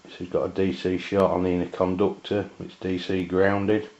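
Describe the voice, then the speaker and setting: A man with an English accent giving a casual hands-on product review in a halting, matter-of-fact way. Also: low voice, little pitch movement, a little mellow. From England. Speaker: amateur radio reviewer. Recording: dull, lo-fi camera sound.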